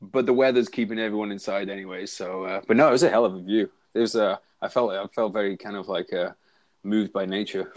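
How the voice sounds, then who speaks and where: A Welsh man guesting on a podcast over a remote call, talking in a relaxed, self-deprecating way with halting restarts. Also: lowish voice. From Wales. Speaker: guest, soldier and author. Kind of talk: casual interview chat.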